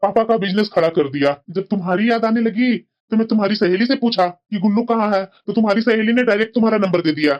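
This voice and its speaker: A woman's voice: medium pitch, fast, few pauses, a little mellow, natural voice. Woman voicing an eager young man, lo-fi. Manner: pleading, affectionate. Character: young man, eager old college friend.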